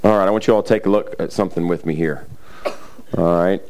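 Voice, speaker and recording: A middle-aged male pastor delivers a sermon in a slightly raspy, somewhat low voice. He speaks casually and conversationally, with plenty of fillers and the occasional throat clearing. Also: quick pace, a little mellow, a little hiss or hum. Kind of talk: sermon with scripture reading.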